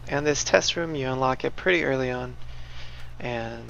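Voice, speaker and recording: A man's voice: medium pitch, moderate pace, gravelly, muffled recording, a little hiss or hum. A man streaming a video game with casual commentary, often talking himself through his moves. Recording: loud game music behind voice. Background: loud video game music.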